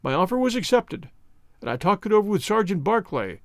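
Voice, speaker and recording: Man doing a bitter crippled ex-soldier, raised pitch, impassioned, lively swings, dry close sound. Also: fairly quick, dry recording, full character voice. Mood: bitter storytelling. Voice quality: vehement, impassioned delivery. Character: bitter, twisted former soldier, middle-aged man. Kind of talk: audiobook character voicing.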